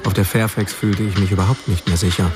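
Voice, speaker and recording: A man's voice: deep voice, steady tone, quick pace, over loud music, lightly acted. A man playing a blunt, sardonic narrator recalling his misfit youth in a radio drama. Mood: dry, sardonic. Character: male narrator drily recalling his misfit, provocative teenage years. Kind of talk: scripted first-person radio drama narration.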